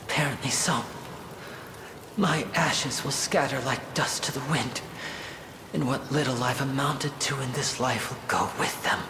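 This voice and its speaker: A man's voice: mid-range voice, a little slow, noisy background, natural voice. A man playing a haughty, theatrical young sorcerer lord in a video game, bitter and hungry for his mother's praise. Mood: bitter despair. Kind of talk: video game cutscene acting. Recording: echoey, background music behind.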